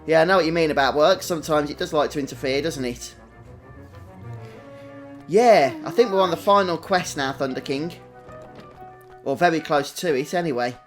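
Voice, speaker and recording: Male streamer, British, fairly high voice, chatty and casual gameplay commentary over faint music. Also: moderate pace, pauses often. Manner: chatty, greets viewers individually. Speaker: game livestream host.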